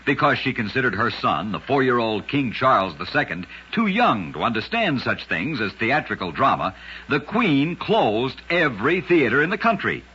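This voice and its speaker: A man hosting a radio show, narrating scripted curiosities in a measured, dramatic storyteller manner. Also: medium pitch, very animated, bright, forceful tone, a little hiss or hum. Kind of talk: scripted old-time radio narration of oddities. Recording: dull, lo-fi old radio recording.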